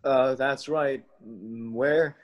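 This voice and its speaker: A man's voice: medium pitch, steady tone, drawn-out words, highs cut off. Young man acting a hesitant, forgetful uncle. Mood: muddled. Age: young adult. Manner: hesitant, muttering. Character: forgetful, bumbling, lovable uncle. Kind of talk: radio play acting.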